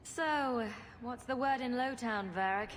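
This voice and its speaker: A woman's voice: a little high, unhurried, crowd noise, natural voice. A woman playing a witty, flippant heroine in a video game, sarcastic and breezily confident. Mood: breezy. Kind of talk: video game cutscene acting. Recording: long reverb, faint background noise.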